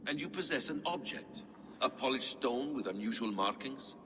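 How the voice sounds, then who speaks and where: An actor playing a shrewd, inquisitive hooded assassin in a video game, speaking in a careful, formal way. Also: male voice, medium pitch, animated, moderate pace, natural voice. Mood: probing. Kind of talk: dramatic video game acting. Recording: clear ambient noise behind.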